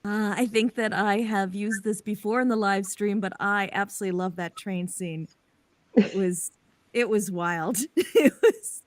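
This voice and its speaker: A woman's voice: fairly high pitch, no room sound. Playfully dry woman, close dry sound. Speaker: voice actor guest on a cast Q&A. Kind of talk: casual livestream chat.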